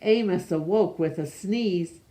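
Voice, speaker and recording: Slow-paced woman reading a children's storybook. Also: lowish voice. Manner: expressive, with pauses and questions to young listeners. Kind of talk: read-aloud picture book storytelling for young children.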